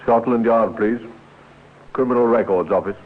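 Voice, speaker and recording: An actor playing a calm, practical police inspector with an authoritative manner in a 1950s radio drama. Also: male voice, mid-range voice, moderate pace, lightly acted. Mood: businesslike. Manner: matter-of-fact, authoritative. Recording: tinny, noisy 1950s radio recording.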